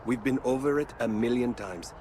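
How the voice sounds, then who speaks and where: An actor playing a breezy, confident office worker reassuring a colleague in a video game, casual in manner. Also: male voice, mid-range voice, normal speed, darkish tone, a little hiss or hum, natural voice. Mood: encouraging. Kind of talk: video game background dialogue.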